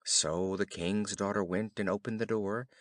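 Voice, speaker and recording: A man narrating a children's fairy tale in an expressive, careful storytelling style. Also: lowish voice, normal speed, dry recording, clean studio sound. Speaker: audiobook narrator.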